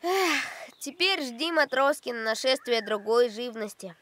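An actor voicing a sensible young boy in an animated series, earnestly explaining and cautioning. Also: mid-range voice, a little slow, slight background noise. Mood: worried warning. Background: cartoon music.

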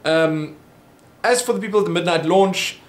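A man's voice: high-pitched, moderate pace. Chatty young South African man, echoey room. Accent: South African English. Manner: rambling, chatty, frequent ums and restarts. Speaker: vlogger answering viewer questions. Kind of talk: casual Q&A monologue. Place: small home office room. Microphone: webcam at desk.

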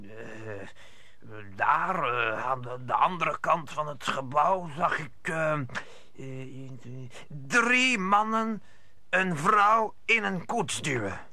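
An actor playing an old vagrant in a radio play, stammering and hesitant. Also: male voice, on the high side, expressive, bright, forceful tone, full character voice. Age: older. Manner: halting, stammering.

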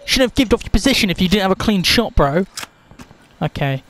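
A man's voice: high voice, a little fast, slight background noise. A young man hosting a gaming video, chatting casually, swearing and laughing over the game. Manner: swears a lot, laughs, gets loud and excited. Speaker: gaming channel host. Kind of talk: casual gameplay chat. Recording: game audio with gunfire behind. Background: gunfire from the game.

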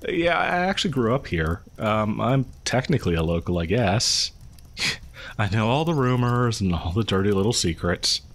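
A man's voice: lowish voice, lively intonation, normal speed, a bit bright. Man acting as hesitant, friendly, awkward young local guy. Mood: casual, gossipy. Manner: hesitant, rambling, nervous chuckles. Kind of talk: roleplay audio horror storytelling, conversational.

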